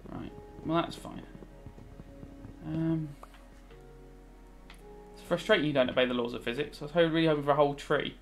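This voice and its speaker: A British man in his thirties with a fairly high voice talks casually as a teacher. He rambles and thinks aloud, moving between explaining a subject and musing over a video game. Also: normal speed, long pauses, soft background music. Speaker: religious studies teacher. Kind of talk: casual revision commentary while playing a video game.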